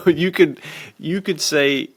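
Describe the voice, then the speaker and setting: A man's voice: on the high side, drawn-out words, fairly bright tone, breathy edge. A man co-hosting a podcast, chatting casually about books with laid-back enthusiasm and plenty of "dude". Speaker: podcast co-host. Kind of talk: casual book chat.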